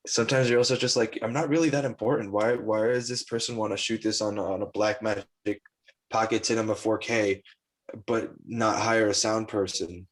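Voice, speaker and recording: A man's voice: lowish voice, steady tone, moderate pace. A man chatting as a filmmaker in a casual group discussion, informal and tentative, with a self-deprecating way of making his point.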